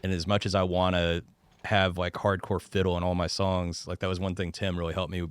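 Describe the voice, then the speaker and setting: A man in his thirties from the Dallas suburbs with a low voice, a singer-songwriter guest chatting easily and poking fun at himself. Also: level tone, brisk, clear, resonant tone, no echo. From suburbs of Dallas, Texas. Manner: easygoing, self-deprecating, many fillers. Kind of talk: casual conversation.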